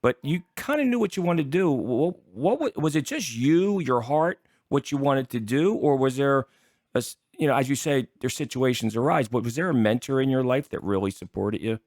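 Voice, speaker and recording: American male interviewer, mid-pitched and dry-sounding, conversational, feels his way through questions. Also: expressive, a little mellow, no echo. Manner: halting, rambling questions. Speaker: show host, interviewer. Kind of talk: casual interview.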